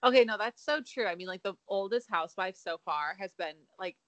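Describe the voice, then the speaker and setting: A young woman in a mid-pitched voice trades wry gossip, hedging and giggling between points. Her voice is recorded close and dry, with no room sound. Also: brisk, a bit bright, no room sound. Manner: wry, hedging, giggly, many likes and I means. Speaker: podcast co-host. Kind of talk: casual gossip chat.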